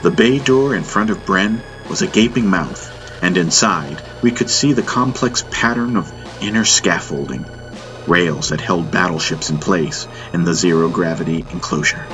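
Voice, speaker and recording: A man's voice: deep voice, moderate pace, music in the background, poor audio. A man reading a science-fiction audiobook as a dramatic scripted narration.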